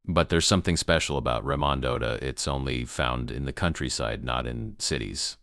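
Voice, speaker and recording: A man playing a grave emergency news broadcaster in an amateur apocalypse broadcast, gravelly, solemn and halting in his delivery. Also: very deep voice, a bit bright, no room sound, clean studio sound, full character voice. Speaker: news anchor.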